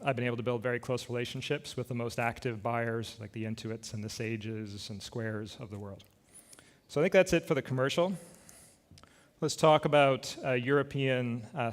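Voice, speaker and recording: A man speaks from a conference stage into a microphone. His pitch sits in the middle of the usual range, and his talk is loose and conversational, with a dry, self-deprecating humor and plenty of filler words. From Scotland.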